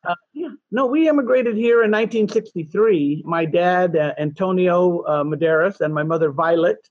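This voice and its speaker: A talkative man in his sixties, chatting as a guest with a self-deprecating, rambling manner. His voice sits a little high for a man, in a dry room with no echo. The recording sounds dull and lo-fi. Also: normal speed. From California, USA. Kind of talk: casual interview chat.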